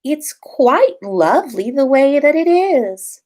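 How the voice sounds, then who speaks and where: Woman as odd, serene young witch for a story reading, airy, higher, drawn-out and unbothered. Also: sing-song, dark tone, exaggerated voice. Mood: dreamy, serene. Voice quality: dreamy, airy. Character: dreamy, unblinking, odd teenage witch girl.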